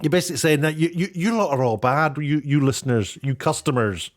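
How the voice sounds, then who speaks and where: A man's voice: on the high side, fairly quick. A British man giving casual opinion commentary in a sarcastic, mocking way, sounding exasperated and incredulous. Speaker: YouTube commentator.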